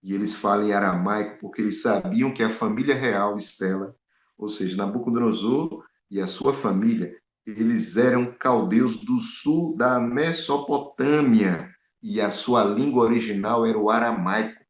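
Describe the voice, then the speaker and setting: Animated preacher in an echoey room. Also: male voice, fairly low pitch, normal speed. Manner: animated, rhetorical questions to the audience. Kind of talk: Bible study sermon. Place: in Spain. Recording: echoey, dull lo-fi room sound.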